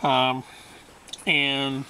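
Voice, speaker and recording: Male hobbyist, unhurried and matter-of-fact, bright-edged voice, informal demo narration over some background noise. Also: medium pitch, completely flat delivery. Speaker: hobbyist radio restorer. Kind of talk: informal hands-on demonstration narration.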